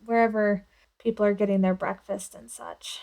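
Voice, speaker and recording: Creaky-voiced woman playing a tabletop game. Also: medium pitch, level tone, normal speed, a little mellow. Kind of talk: casual improvised roleplay.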